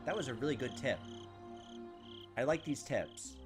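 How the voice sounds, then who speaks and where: A man's voice: medium pitch, animated, moderate pace. A young man with a mid-range voice reads story text and comments casually as a gaming host. Faint game music and crickets sit behind him.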